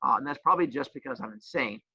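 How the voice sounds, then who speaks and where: A man's voice: mid-range voice, fast talker, creaky, husky, dry recording, muffled recording. A man teacher who also coaches, explaining a homework assignment with playful jokes and teasing. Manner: says he has a loud voice.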